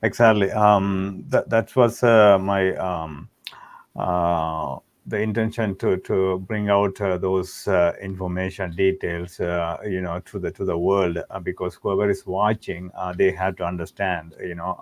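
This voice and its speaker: Deep-voiced halting talk show host. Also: male voice, dry recording. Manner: halting, many fillers. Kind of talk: interview questions. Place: Canada.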